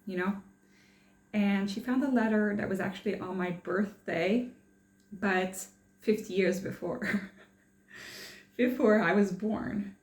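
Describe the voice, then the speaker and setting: A woman's voice: medium pitch, a little mellow, echoey room. A young woman from France chatting casually in a personal vlog, rambling and hesitant with many fillers and restarts. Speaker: vlogger.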